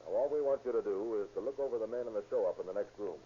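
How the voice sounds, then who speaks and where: An actor playing a brisk, businesslike police lieutenant pressing his questions in a 1930s radio drama. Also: fairly low pitch, mellow, lightly acted. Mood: commanding. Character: brisk, insistent male police detective lieutenant. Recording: hissy muffled 1930s radio recording.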